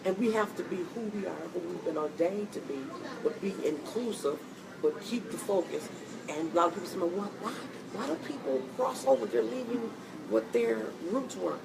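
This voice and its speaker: A woman hosting an interview in a coffee shop, animated and preachy, encouraging her guest. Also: mid-range voice, normal speed, gravelly, background noise. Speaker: host. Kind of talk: interview chat. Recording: distant mic in busy cafe. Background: cafe crowd chatter.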